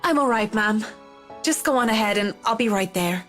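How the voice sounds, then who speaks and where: A woman's voice: mid-range voice, expressive, moderate pace, fairly bright tone, faint music behind, close to an everyday voice. An actress playing a shaken, guilt-ridden, apologetic young woman in a horror game, hesitant in manner. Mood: shaken, polite.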